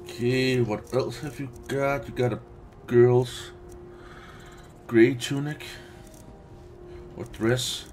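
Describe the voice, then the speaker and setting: A man's voice: mid-range voice, fairly even intonation, slow, long pauses, darkish tone, a little hiss or hum. A man doing casual gameplay commentary, muttering to himself in short bursts. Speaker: let's play streamer.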